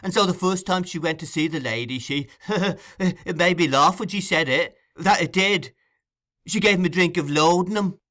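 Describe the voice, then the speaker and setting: A man's voice: high-pitched, normal speed, fairly bright tone, pitched above the performer's own voice. A man voicing a sleepy, sulky young male informer in an audiobook, talking in a grudging, plain way. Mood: matter-of-fact. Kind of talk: audiobook character acting.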